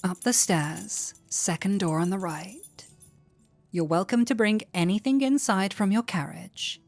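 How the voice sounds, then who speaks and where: A woman playing a flirty, warm-hearted tavern innkeeper in an intimate roleplay audio drama, teasing coyly and playfully. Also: mid-range voice, soft background music, lightly acted. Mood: tender, soft. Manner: coy, playful, unhurried teasing.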